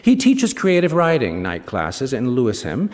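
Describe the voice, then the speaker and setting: A smug, ageing male novelist telling his own story to a hall. His pitch sits mid-range but swings very widely, with wry, theatrical emphasis. Long hall reverb surrounds the live stage reading. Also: huge pitch swings, moderate pace, a little mellow. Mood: self-satisfied.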